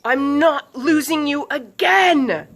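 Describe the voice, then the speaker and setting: Woman voicing an urgent, high-pitched young girl goalie. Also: slow. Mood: desperate. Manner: urgent, commanding shouts. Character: frightened, determined young girl hockey goalie, shouting.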